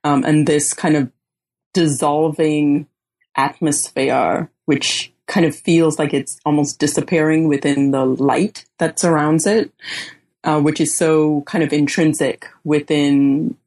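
Bahamas-born woman guest, low voice, unhurried, thinks aloud with frequent ums. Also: fairly even intonation, darkish tone. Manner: slow and measured, many ums and pauses. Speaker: guest author. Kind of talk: thoughtful academic interview answers.

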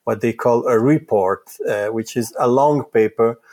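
A man from Italy whose first language is Italian, answering interview questions in a thoughtful, halting way with frequent repeated words. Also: mid-range voice, darkish tone. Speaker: psychoanalyst guest.

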